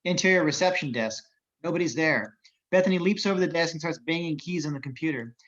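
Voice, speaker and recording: A man's voice: a little high, quick pace, fairly bright tone, dull audio, slight background noise. A man narrating the action of a script as reader of stage directions in an amateur table reading.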